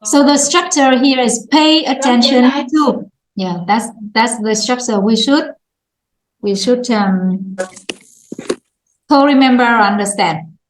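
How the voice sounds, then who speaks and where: A woman's voice: on the high side, slow pace, echoey room, a little hiss or hum, low-quality recording. A woman English teacher with a Vietnamese accent, patiently prompting students in an online lesson and laughing often.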